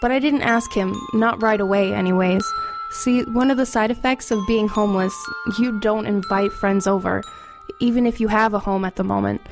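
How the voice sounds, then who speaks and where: A woman's voice: mid-range voice, steady tone, moderate pace, natural voice. A woman playing a reflective teenage girl who narrates her own ghost story in a confiding way. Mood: confiding, rueful. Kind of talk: first-person dramatic narration. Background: music under the voice.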